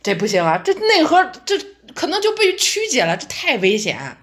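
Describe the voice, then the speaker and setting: A cautious, old-fashioned male theater director in an audio drama , played by a woman, who keeps cutting in to refuse ideas. The voice is a little high, with a very lively, bouncing pitch. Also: full character voice. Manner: flustered, interrupting, quick to refuse. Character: conservative middle-aged male theater director, dismissive. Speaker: director character.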